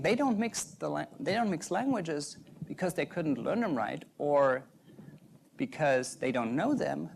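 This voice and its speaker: German man with gravelly, lively delivery. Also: fairly high pitch, a little hiss or hum. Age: young adult. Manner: earnest, measured, pauses between short phrases. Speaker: doctoral researcher giving a talk. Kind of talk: prepared talk to an audience.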